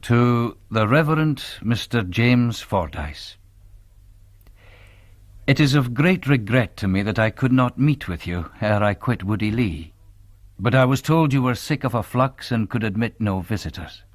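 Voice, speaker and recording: An actor playing a grave, devout young Presbyterian minister with a Scottish accent, in a radio drama. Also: male voice, mid-range voice, lively intonation, unhurried, no echo, close to an everyday voice. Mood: grave, formal. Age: young adult. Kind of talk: radio drama acting, reading letters and journal.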